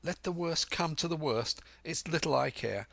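A man voicing a brave, excitable boy adventurer with emphatic, urgent delivery in an audiobook. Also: fairly high pitch, moderate pace, gravelly, no echo, voice pushed up. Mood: nervous defiance. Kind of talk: dramatic audiobook character voice.

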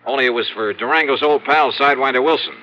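A man's voice: medium pitch, fast talker, sharp tone, lightly acted. An American actor playing a brash, wisecracking male insurance investigator in a 1950s radio drama, with a breezy, blunt manner. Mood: animated, confiding. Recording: muffled, faintly noisy 1950s radio recording.

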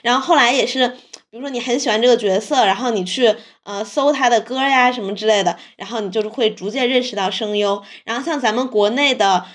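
A woman's voice: on the high side, fast. A woman with a Mainland Mandarin accent hosting a podcast in casual chat, informal and anecdotal in the way she talks. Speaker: podcast host.

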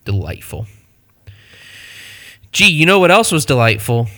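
Midwestern male podcast co-host, bright tone, upbeat and jokey. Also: mid-range voice, very animated. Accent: Midwestern American. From Ohio, USA. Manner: upbeat, jokey, quick asides. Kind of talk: casual podcast chat.

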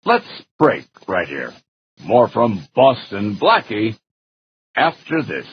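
A man's voice: on the low side, huge pitch swings, slow, background noise. A man announcing a radio drama in a dramatic presentational style. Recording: muffled sound under loud music. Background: music.

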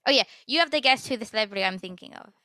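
A woman's voice: on the high side, lively intonation, very fast, bright, forceful tone, dry recording, studio quality. A teenage girl hosting a podcast in casual chat, who stutters now and then and fills her talk with ums and giggles. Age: teens. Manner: stutters, many fillers and giggles. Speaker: podcast host.

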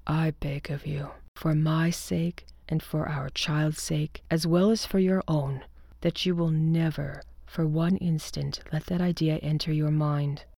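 Gravelly dark-voiced husband doc, read by a woman, talks down gently, dry close sound. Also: sits low, fairly even intonation, a little slow, no room sound, voice pushed down, exaggerated voice. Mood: soothing. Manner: soothing, condescending reassurance. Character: calm, patronizing husband physician, adult man.

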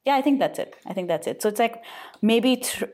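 A woman's voice: fairly high pitch, expressive, fairly quick, a little mellow. A woman from India chatting casually, hedging as she thinks aloud. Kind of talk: casual chat.

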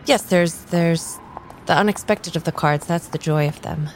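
A woman's voice: fairly low pitch, normal speed, darkish tone, a little hiss or hum, lightly acted. A woman playing an easygoing, slightly flustered tarot reader in an improvised audio drama, speaking in hesitant, agreeable starts. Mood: amused, hesitant.

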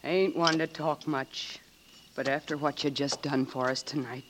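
An actress plays a middle-aged country mother in a 1940s radio drama. She is humble and speaks haltingly in plain rustic words, in a low voice. The old recording has faint noise and clicks. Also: fairly even intonation. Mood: grateful, shy.

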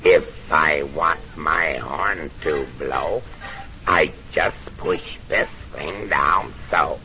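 Froggy the Gremlin, a gravelly impish frog. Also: male voice, extremely low pitch, drawn-out words, bright, forceful tone, faint music behind, heavily acted. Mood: singing, rowdy. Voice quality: gravelly croaking voice, repeats "I am, I am". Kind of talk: vintage children's radio comedy. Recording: noisy old broadcast recording.

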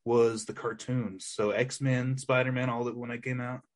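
A man's voice: medium pitch, flat delivery, normal speed. A man co-hosting a podcast, telling rambling stories in a casual chat and laughing as he goes. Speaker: podcast co-host.

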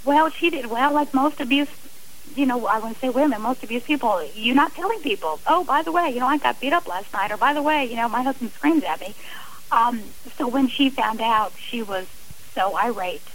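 A woman's voice: a little high, animated, moderate pace, a little hiss or hum, low-quality recording. A middle-aged woman speaking as an interview guest, earnest and emphatic in a conversational way. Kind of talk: personal account in a radio interview.

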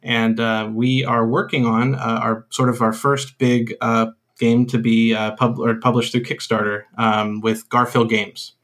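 A man's voice: medium pitch, level tone, unhurried, hardly any pauses, a bit bright. A man who designs games, a guest on a podcast, explaining his game in a rambling way with many fillers and restarts. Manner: rambling, full of uhs and restarts. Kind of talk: casual interview chat.

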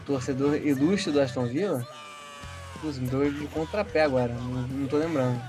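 A man's voice: mid-range voice, moderate pace, a little mellow, faint music behind. A man from Rio de Janeiro with a Carioca accent, a guest on a podcast chatting casually and enthusiastically about football. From Rio de Janeiro, Brazil.

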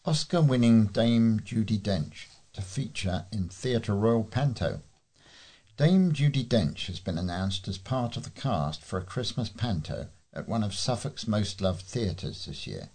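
Husky-voiced man reading local news. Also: lowish voice, darkish tone. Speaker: volunteer newsreader.

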